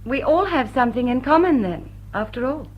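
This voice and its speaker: A witty, poised female thief of the drawing room in a classic radio drama. She speaks in animated, mock-innocent politeness with a husky edge. The recording is dull and lo-fi. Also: sits high, fairly quick. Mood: composed, teasing. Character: poised, witty woman thief among English high society.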